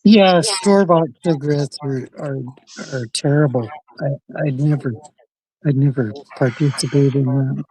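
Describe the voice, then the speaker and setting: A man from Canada chatting casually as a livestream co-host, halting and repeating his words. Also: mid-range voice, darkish tone, breathy edge, dry recording, poor audio. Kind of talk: casual chat.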